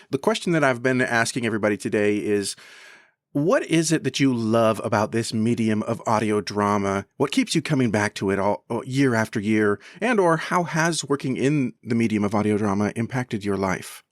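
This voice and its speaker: A man's voice: mid-range voice, no echo, studio quality. A man hosting a podcast, chatting casually as he interviews guests.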